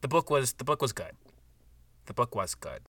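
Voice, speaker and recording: A man's voice: mid-range voice, sing-song, normal speed, a bit bright, dry recording, recorded from a distance. A man playing an awkward, sincere writer with a hesitant delivery, co-hosting a book podcast in an improvised audio comedy. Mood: wistful, halting. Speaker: podcast co-host.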